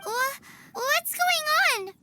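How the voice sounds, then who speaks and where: A woman voicing a tiny, cheeky, childlike fairy companion in a video game, with a squeaky voice. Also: animated, very slow, very noisy, exaggerated voice. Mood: startled. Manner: cheeky, speaks of self in third person. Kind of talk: English video game voice acting. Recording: music playing behind the voice. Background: game music.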